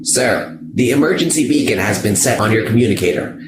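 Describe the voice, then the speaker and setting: A man's voice: fairly low pitch, expressive, fairly quick, echoey room, noisy background, natural voice. A man playing a dutiful, deferential starship officer in a sci-fi audio drama.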